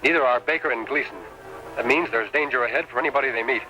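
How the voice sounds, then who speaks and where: Man acting calm western lawman hero, authoritative, measured, bright tone, old-time radio drama, faint music. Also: mid-range voice, natural voice, low-quality recording. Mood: alert. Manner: measured, authoritative, correct diction. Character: calm, upright masked male western hero.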